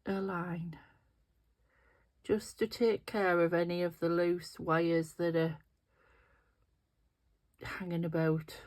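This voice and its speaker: A woman's voice: lowish voice, little pitch movement, slow pace, long pauses, darkish tone. A middle-aged woman with a sniffly, nasal voice, chatting casually and thinking aloud as she works through a craft tutorial. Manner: chatty, thinking aloud while working with her hands. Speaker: resin craft video host.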